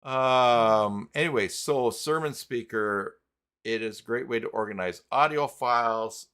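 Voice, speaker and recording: A man hosting a live stream tutorial in a casual, halting way, thinking aloud as he goes. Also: medium pitch, a bit bright, smooth. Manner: halting, thinking aloud while clicking around. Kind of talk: casual live tutorial talk, reading chat.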